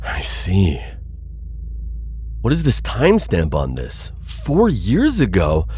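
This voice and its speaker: A man voicing a cautious but principled insectoid alien bounty hunter in an ASMR roleplay, with husky, halting, formally worded speech. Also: low-pitched, wildly swooping pitch, darkish tone, slight background noise, full character voice. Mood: puzzled, alarmed. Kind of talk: ASMR audio roleplay addressed to listener.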